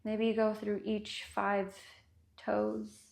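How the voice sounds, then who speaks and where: A woman guiding a body scan meditation with gentle, unhurried instructions and long pauses. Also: mid-range voice, completely flat delivery, gravelly. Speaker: meditation guide.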